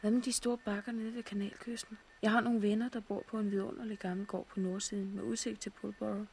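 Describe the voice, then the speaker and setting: A woman's voice: mid-range voice, little pitch movement, creaky, darkish tone, slight background noise, close to an everyday voice. An actress playing a candid, wry young woman novelist surviving a catastrophe in a 1960s radio drama, in a frank conversational manner. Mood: practical.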